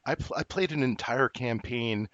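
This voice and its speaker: A middle-aged man co-hosting a podcast in casual chat, rambling with many halting restarts and fillers. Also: mid-range voice, a bit bright, no room sound. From New Jersey. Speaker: podcast co-host. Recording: dull lo-fi dry recording.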